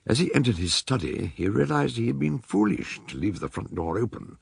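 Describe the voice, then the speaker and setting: An actor playing a solicitous, well-spoken British doctor who narrates a radio drama in a formal, expressive manner, with a gravelly, husky voice. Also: male voice, mid-range voice, a little fast, dry recording, exaggerated voice. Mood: measured storytelling. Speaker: narrator and supporting character.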